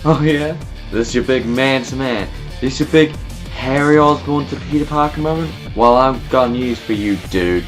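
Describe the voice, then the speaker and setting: A man's voice: mid-range voice, normal speed, faint music behind, lightly acted. A man voicing a cowardly, bratty teenage gangster's son in a comic book dub, whiny and taunting. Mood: sneering.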